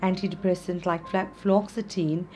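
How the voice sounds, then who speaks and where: A woman born in South Africa, a psychiatrist answering interview questions in earnest, measured explanations. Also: lowish voice, steady tone, warm tone, a little hiss or hum. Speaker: psychiatrist guest.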